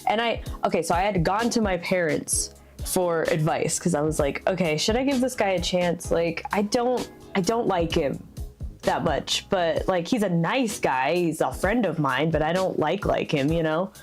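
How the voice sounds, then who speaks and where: Woman, lowish voice, giggly animated storyteller, faint music underneath. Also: normal speed. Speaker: streamer's wife, guest. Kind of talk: casual storytelling chat.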